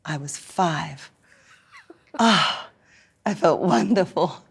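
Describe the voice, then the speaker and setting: An Australian woman from Newcastle, hoarse and croaky after singing sick the night before, telling stories playfully in a casual interview. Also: deep voice, lively intonation, extremely slow. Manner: playful, theatrical storyteller with frequent laughs. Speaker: guest performer.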